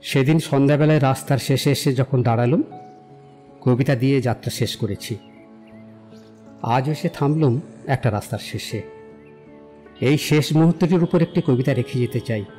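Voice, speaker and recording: An actor playing a self-assured young romantic in an audio drama, speaking in a poetic, declamatory and philosophizing way. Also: male voice, medium pitch, moderate pace, pauses often, dark tone, natural voice. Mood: solemn, poetic. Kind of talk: dramatized audio story acting. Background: background music.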